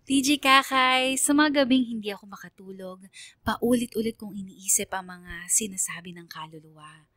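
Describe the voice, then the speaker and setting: A Filipino woman voicing a haunted letter sender, narrating a first-person radio horror story in a dramatic, brooding way with hushed drops. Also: medium pitch, normal speed, no room sound, natural voice.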